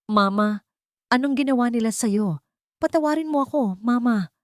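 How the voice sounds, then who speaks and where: A woman voicing a forceful, emotional millionaire son in an audio story. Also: fairly high pitch, normal speed, no echo, clean studio sound, voice pushed up, natural voice. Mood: anguished. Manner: commanding, emphatic, anguished. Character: angry, forceful millionaire son, an adult man.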